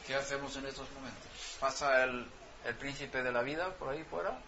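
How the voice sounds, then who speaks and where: A man's voice: medium pitch, fairly even intonation, fairly quick, background noise. A man whose first language is Swedish, preaching a sermon and pressing his point with rhetorical questions. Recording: dull lo-fi sound, faint ambience.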